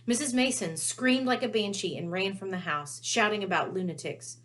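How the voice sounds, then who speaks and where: A woman reading a children's book aloud as storyteller, with expressive narration. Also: mid-range voice, normal speed.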